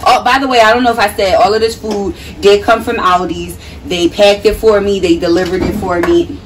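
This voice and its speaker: A woman's voice: medium pitch, fairly even intonation, brisk. Woman chatting casually, off-mic in a noisy kitchen. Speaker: vlogger, mother. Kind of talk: casual grocery haul narration. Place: home kitchen, indoors. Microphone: camera mic at a short distance. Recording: distant mic, clearly noisy home recording.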